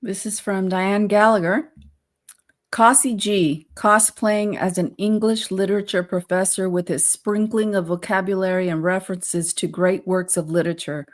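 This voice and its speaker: A woman's voice: mid-range voice, fairly even intonation, normal speed. A woman co-hosting a casual trial discussion in a conversational, tentative way. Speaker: co-host.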